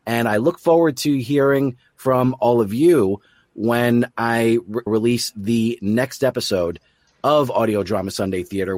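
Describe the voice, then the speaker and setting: A man with a fairly low voice hosts an interview show. He speaks effusively and appreciatively. The recording is dry and close, with very little room sound. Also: a little mellow, dry recording. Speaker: podcast host and producer. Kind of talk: interview hosting.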